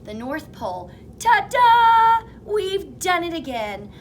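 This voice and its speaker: A woman at an elementary school reading a picture book aloud, expressive and dropping to a whisper for suspense. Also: very high voice, slow pace. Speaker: reader. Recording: recording with clear background noise.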